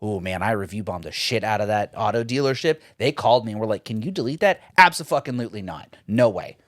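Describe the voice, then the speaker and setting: A man's voice: fast talker, no echo. Young dude on a podcast, swearing a lot, voice jumps way up when he rants, dry close mic. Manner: profane, animated ranting with big pitch jumps. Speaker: podcast co-host. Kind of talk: casual chat.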